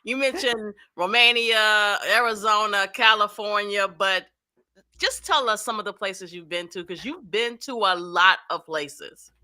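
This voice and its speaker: Bright, unhurried woman interviewer. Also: mid-range voice. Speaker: show host, interviewer. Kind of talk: interview hosting.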